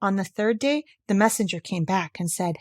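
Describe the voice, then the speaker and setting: A woman storyteller reading a fairy tale aloud in an expressive, theatrical and clearly enunciated way. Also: medium pitch, moderate pace.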